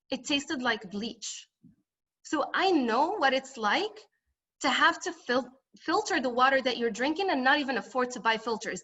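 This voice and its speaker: A woman's voice: on the high side, a bit bright, dull audio. A woman from Morocco speaking as a congressional candidate at a political forum, with emphatic, repetitive campaign phrasing.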